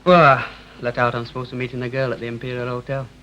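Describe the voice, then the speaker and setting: A man's voice: mid-range voice, completely flat delivery, quick pace, pushed and bright, natural voice. An actor playing a reserved, defensive student son, a young man, guarded and terse, in a 1960 British television soap. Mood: subdued. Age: young adult. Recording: faintly noisy 1960 television soundtrack.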